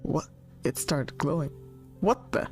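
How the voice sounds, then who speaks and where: A man's voice: a little high, big pitch swings, slow, faint music behind. A man casually reacting as he streams a story game, wry and offhand in his remarks.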